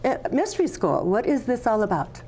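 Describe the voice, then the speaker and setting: A woman's voice: fairly high pitch, sing-song, a little fast, a little mellow, slight background noise. A woman hosting an interview show, friendly and welcoming, affirming her guest. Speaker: talk show host.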